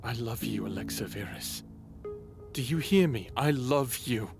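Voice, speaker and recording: A man playing a devoted, weary but steadfast human in an intimate audio drama, speaking in a heartfelt, deliberate way. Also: medium pitch, expressive, faint music behind. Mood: tender, insistent.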